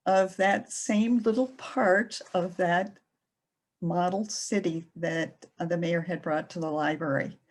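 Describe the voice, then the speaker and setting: A middle-aged woman librarian giving a presentation, speaking slowly with many pauses and fillers. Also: fairly low pitch, a little mellow. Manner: slow, hesitant with many fillers. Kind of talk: presentation talk.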